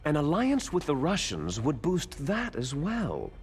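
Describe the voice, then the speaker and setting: An actor playing an arrogant, cunning terrorist boss in a video game cutscene, commanding, theatrical and contemptuous in manner. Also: male voice, fairly high pitch, wildly swooping pitch, measured pace, a little hiss or hum, exaggerated voice. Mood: scornful.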